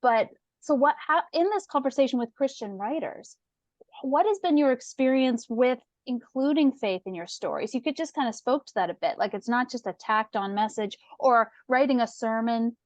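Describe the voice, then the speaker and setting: A woman's voice: fairly high pitch, animated, moderate pace, dry recording. A woman hosting a conversational author interview in a chatty, affirming way. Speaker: interviewer and host from a book publisher.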